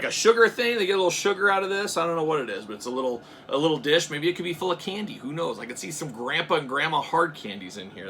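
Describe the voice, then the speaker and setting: A young man with a bright, sharp, somewhat high voice chatters through a toy unboxing. He jokes and drifts into tangents, jumping from loud bursts to low mutters. Faint room noise sits behind him. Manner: jokey, rambling asides, swings between loud bursts and mutters. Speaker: toy reviewer.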